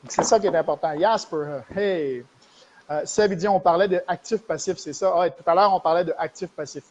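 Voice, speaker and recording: A man's voice: high voice, fairly quick, a little mellow, highs cut off. A man with a Québécois French accent hosting a live stream, explaining money matters to viewers and addressing them directly. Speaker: host of a live stream show.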